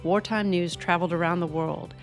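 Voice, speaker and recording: A woman's voice: lowish voice, steady tone, fairly quick, soft background music. An American woman co-hosting a hobby radio channel, reading scripted narration. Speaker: co-host of a ham radio channel.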